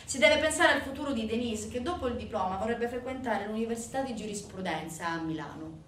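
A woman performing a dramatic theatrical monologue, intense and emphatic, swinging from hushed to loud. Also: fairly high pitch, expressive, fairly quick. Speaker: stage actress narrating a monologue. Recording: roomy, distant stage recording with noise.

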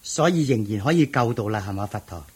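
An earnest monk disciple in a radio drama. He has a husky Hong Kong Cantonese voice with animated pitch, always inquisitive and respectful. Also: quick pace. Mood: eager, respectful. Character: earnest, curious male disciple of the Buddha.

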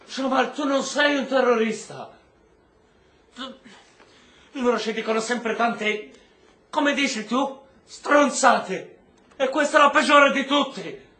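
High-pitched, earnest prisoner. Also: male voice, takes it slowly, long pauses, sharp tone, reverberant, full character voice. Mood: worried, reassuring. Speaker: actor. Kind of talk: Italian stage-style radio drama acting.

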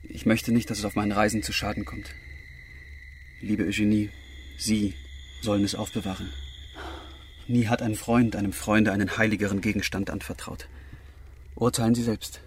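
An actor playing a gallant, devoted young gentleman in a radio drama, speaking gently and softly with fervent earnestness. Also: on the low side, little pitch movement, normal speed, long pauses, slight background noise, close to an everyday voice. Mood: earnest, confiding. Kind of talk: German radio drama acting.

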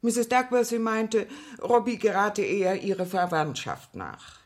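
A woman's voice: mid-range voice, animated, a little slow, close to an everyday voice. An actress playing a talkative, deferential working-class mother of many in a German radio play, chatty and rambling. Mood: chatty recollection. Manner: chatty, rambling, deferential, quoting herself and others.

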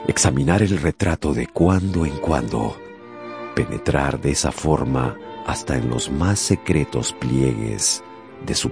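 Very deep, slow male narrator. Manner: solemn, deliberate, theatrical pauses. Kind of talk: dramatic scripted literary narration for radio.

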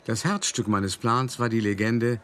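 An actor playing a cultured, self-satisfied male art forger, confessing in a measured, reflective, articulate radio drama monologue. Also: mid-range voice, fairly even intonation, fairly bright tone, close to an everyday voice. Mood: precise, proud. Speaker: first-person narrator.

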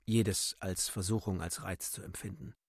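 A man narrating literary prose for a radio drama in a measured, solemn, dramatic manner. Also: deep voice, steady tone, breathy edge.

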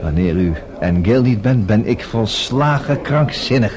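An actor playing an excitable, easily flustered gentleman at a nightclub in a radio drama, emphatic and sometimes stammering. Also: mid-range voice, moderate pace, a crowd in the background, natural voice. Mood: agitated, astonished. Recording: loud music behind the voice.

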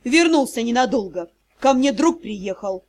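A woman's voice: a little high, expressive, moderate pace, darkish tone. A woman voicing a stern vampire father, head of a noble house, in an audiobook. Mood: calm.

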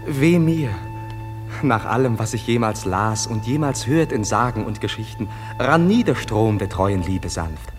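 A man's voice: fairly low pitch, expressive, unhurried, long reverb tail, over music. An actor playing a passionate young nobleman in love, declaiming lyrically in a classical verse radio drama. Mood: tender, lamenting. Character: ardent, lovelorn young nobleman, defiant suitor.

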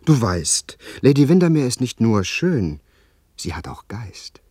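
An actor playing a young, smitten upper-class Englishman who grumbles about society in a German radio drama, effusive and theatrical, with breathy asides. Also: mid-range voice, sing-song, unhurried, dark tone, dry recording, studio quality. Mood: smitten, hushed.

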